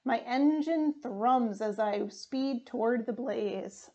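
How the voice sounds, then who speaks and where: Slow, high-voiced young woman reading aloud. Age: young adult. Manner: deliberate, expressive reading. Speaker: firefighter reading a storybook. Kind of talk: children's storybook read-aloud. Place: small room indoors.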